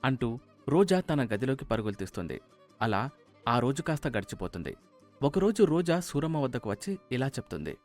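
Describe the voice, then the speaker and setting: A man's voice: medium pitch, sing-song, normal speed, darkish tone, soft background music. A man narrating a scripted story as its storyteller.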